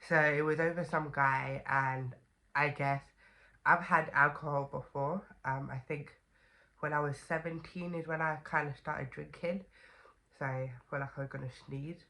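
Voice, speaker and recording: A woman's voice: low-pitched, fairly even intonation, a little slow, sharp tone. A young woman with a stuffy, congested voice from a cold, chatting casually to camera as a vlogger with lots of fillers and "okay?" asides.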